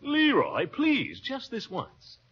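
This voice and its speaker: A pompous, middle-aged uncle from a 1940s radio comedy. His forceful voice swoops dramatically from bluster to coaxing, with a husky edge. The vintage recording is muffled. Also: very high voice, wildly swooping pitch, slow pace, soft background music, heavily acted. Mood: wheedling.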